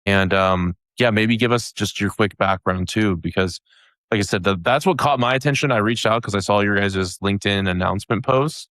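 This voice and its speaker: An upbeat man hosts a remote interview, stringing loose questions together in a relaxed way. His voice sits low, and the recording is dry and close with no room sound. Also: moderate pace, no room sound. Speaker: podcast host. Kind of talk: casual interview chat.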